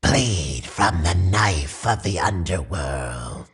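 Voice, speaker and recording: A man's voice: low-pitched, expressive, slow pace, a bit bright, husky, full character voice. A man voicing an evil, boastful game demon with a throaty voice and grandiose menace. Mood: menacing. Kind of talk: video game hero voice line.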